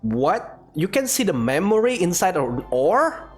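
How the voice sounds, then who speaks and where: A woman's voice: lowish voice, sing-song, unhurried, darkish tone, husky, soft background music. A woman giving casual, musing and slightly hesitant commentary as she plays a video game. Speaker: gaming video creator.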